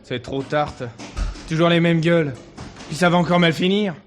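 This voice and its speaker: An actor playing a surly young small-town tough, grumbling and swearing in curt slang. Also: male voice, on the high side, fairly even intonation, normal speed, soft background music, lightly acted.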